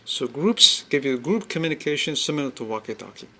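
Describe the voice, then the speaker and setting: Bright-voiced man giving an informal product demo. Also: mid-range voice, animated. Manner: explanatory, repetitive, halting step-by-step phrasing. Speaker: product demonstrator.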